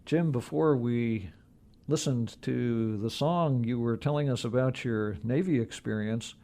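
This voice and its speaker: A man hosting a radio interview, speaking conversationally in a measured, courteous way as he puts questions to his guest. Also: mid-range voice, warm tone.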